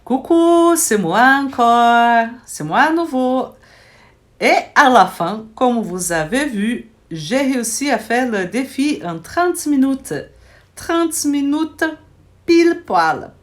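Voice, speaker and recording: A woman's voice: high-pitched, lively intonation, slow pace. A woman with a Brazilian Portuguese accent, speaking French as a third language, chatting to camera in a vlog, hesitant with many restarts, recorded on a handheld phone. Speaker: vlogger. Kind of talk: casual chat to camera. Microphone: phone held in hand.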